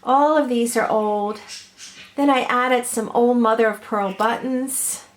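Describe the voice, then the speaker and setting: Slightly hoarse woman, higher pitch, very unhurried meandering craft-tutorial delivery. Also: steady tone, rough recording. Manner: gentle, meandering, many ums and uhs. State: has a cold, a little hoarse. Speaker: crafter showing her ornaments. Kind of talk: slow, chatty craft tutorial.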